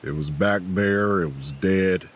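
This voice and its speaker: An actor playing a bleak, shell-shocked, defeated traveler in an audio drama, speaking in hollow, flat statements. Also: male voice, deep voice, takes it slowly, slight background noise. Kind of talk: naturalistic audio drama acting.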